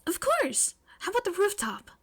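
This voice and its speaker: An exuberant teenage girl inventor, played by a woman, very high in pitch and brash. She talks in loud, emphatic bursts, full of eager confidence, as in a fan-made audio story. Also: moderate pace, full character voice. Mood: excited, eager. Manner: loud, excitable, emphatic delivery. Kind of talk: fan fiction audio drama.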